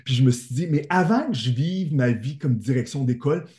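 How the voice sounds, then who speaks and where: Voix d'homme de hauteur moyenne, ontarien, invité enthousiaste et sincère. On entend un écho de pièce marqué, typique d'un appel vidéo. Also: male voice, medium pitch, lively intonation, fairly quick, a little mellow, echoey room. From Eastern Ontario, Canada. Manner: earnest, enthusiastic storytelling. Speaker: teacher, guest. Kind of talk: interview answers. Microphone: video call.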